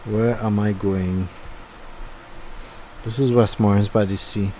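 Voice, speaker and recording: A man's voice: lowish voice, fairly even intonation, unhurried, a little mellow, a little hiss or hum. A middle-aged man giving a casual, rambling voice-over commentary on his own driving video, thinking aloud with fillers. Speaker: YouTube channel host. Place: home, recorded after the drive.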